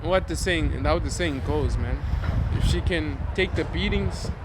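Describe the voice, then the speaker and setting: A man's voice: on the high side, moderate pace, heavy background noise. A young man from Africa co-hosting a podcast, joking crudely and laughing often in a casual chat. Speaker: podcast co-host.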